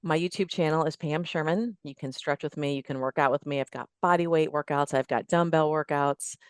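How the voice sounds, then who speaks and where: Clear-voiced middle-aged woman giving fitness advice. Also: low-pitched, fairly quick, no echo, clean studio sound. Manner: emphatic, plain-spoken encouragement, builds points in lists. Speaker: fitness trainer guest. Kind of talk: casual interview chat giving advice.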